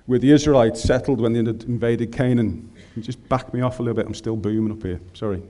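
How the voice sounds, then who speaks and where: A man's voice: mid-range voice, fairly even intonation, fast talker, dark tone. A man with a Scouse accent preaching a sermon in a chatty, joking way, speaking into a microphone in a large room. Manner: humorous, conversational, colloquial.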